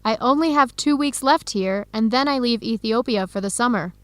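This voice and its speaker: A young woman playing an earnest researcher searching for her birth family in an educational radio drama, speaking clearly and conversationally. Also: on the high side, normal speed, a bit bright, no room sound, close to an everyday voice. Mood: resolute. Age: young adult.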